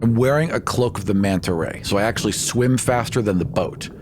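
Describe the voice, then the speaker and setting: A man's voice: on the low side, fairly quick, background noise. A man chatting casually as a player in a tabletop game, good-natured and conversational. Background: music.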